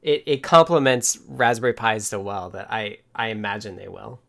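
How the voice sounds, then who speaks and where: A man's voice: fairly low pitch, steady tone, normal speed, creaky, a bit bright. Informal man chatting about technical things. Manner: informal, thinks aloud while answering viewer questions. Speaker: livestream host and software developer. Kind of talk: casual technical chat.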